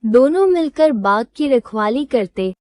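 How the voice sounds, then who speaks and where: A woman reading a scripted children's moral story as storyteller, in a measured, instructive manner. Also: a little high, animated, a little mellow, no room sound.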